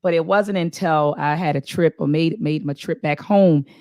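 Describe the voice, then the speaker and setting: A woman's voice: deep voice, normal speed, no echo. Earnest woman in her forties, dry sound. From Baltimore, USA. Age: 40s. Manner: earnest, lively, frequent fillers and restarts. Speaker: guest, engineering professor. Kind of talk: interview answers, storytelling.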